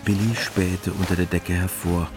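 A man giving scripted literary narration for a radio play in a measured, matter-of-fact manner. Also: deep voice, little pitch movement, over music.

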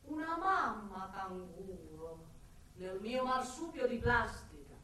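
A woman's voice: mid-range voice, sing-song, slight background noise, exaggerated voice. An actress playing an unsettling, darkly ironic man with murderous thoughts, in a volatile theatrical radio monologue. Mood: furtive, dark. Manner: volatile, swinging from murmurs to shouts, drawn-out words. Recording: very echoey, distant, music behind.

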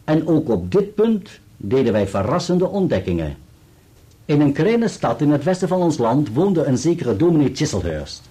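An actor playing a precise, formal solicitor in a radio play, with an articulate delivery. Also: male voice, fairly high pitch, mellow, breathy edge. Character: dutiful male solicitor reading an article aloud, precise and formal.

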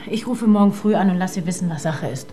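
A woman's voice: lowish voice, fast talker, natural voice. A woman playing a cool, sardonic FBI agent, dry and matter-of-fact, in a radio drama. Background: faint music.

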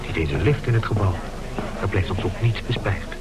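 A man's voice: deep voice, fairly quick, natural voice. A man acting as a conspiratorial radio play narrator who guides listeners in an urgent, theatrical, confiding way. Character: conspiratorial narrator guiding listeners as they shadow a crook. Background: music.

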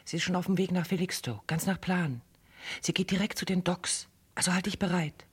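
An actress playing a smooth, scheming woman who talks persuasively in a German radio crime drama. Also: fairly low pitch, fairly even intonation, brisk. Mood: conspiratorial. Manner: coaxing, persuasive. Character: smooth scheming woman feigning helpful concern.

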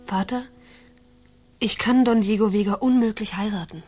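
A woman's voice: mid-range voice, normal speed, darkish tone, husky, soft background music, natural voice. An actress playing a proud, reluctant young noblewoman in a radio drama, formal and cool. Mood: indignant. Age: young adult. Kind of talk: German radio drama acting.

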